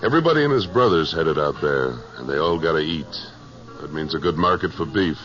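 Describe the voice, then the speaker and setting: A hard-bitten western cattleman with a very low American voice. He is self-assured and terse, never wasting a word. The sound is a muffled 1950s radio recording with music around it. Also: expressive, brisk, a bit bright. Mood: cool, persuasive. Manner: terse, unflinching, plain-spoken. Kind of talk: 1950s radio western acting, first-person narration and dialogue.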